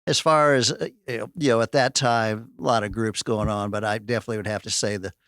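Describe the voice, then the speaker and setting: A man in his sixties with a Midwestern American accent, a guitarist reminiscing in relaxed conversation. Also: lowish voice, quick pace, dry recording, studio quality. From Kansas City area. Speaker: band guitarist. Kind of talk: casual chat. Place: radio studio.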